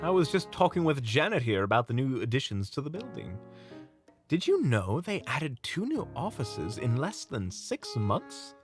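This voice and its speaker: A man's voice: mid-range voice, wildly swooping pitch, normal speed, soft background music. A man playing a sociable, chatty monster hunter with polite, eloquent speech in an audio roleplay drama. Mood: breezy, teasing.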